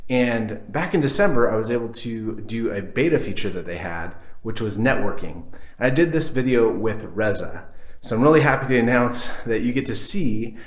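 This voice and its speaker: A man's voice: medium pitch, moderate pace. A man hosting his developer channel and chatting casually on a video call, loosely and a bit rambling. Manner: upbeat intro, then relaxed and rambling. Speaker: YouTube host and developer.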